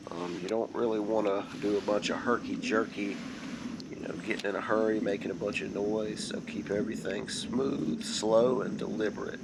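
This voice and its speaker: Hoarse man, gravelly, outdoors on a kayak. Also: on the low side. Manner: slow, steady explaining. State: hoarse from a cold. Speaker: kayak angler. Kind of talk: casual fishing narration. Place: kayak on a wooded creek outdoors. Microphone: camera mounted behind him on the kayak. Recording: noisy outdoor camera audio.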